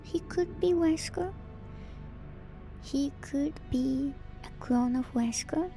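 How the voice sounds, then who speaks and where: A woman doing a hushed, intimate ASMR stream, reading lore in a soft breathy whisper and adding casual asides to her viewers. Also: sits high, slow pace. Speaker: streamer host. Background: music.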